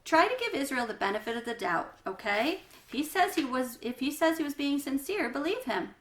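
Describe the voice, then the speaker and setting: A woman voicing a calm, patient male schoolteacher in a storybook, kindly but firm and measured. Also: high-pitched, voice pushed up. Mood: gentle. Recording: roomy, echoey room sound.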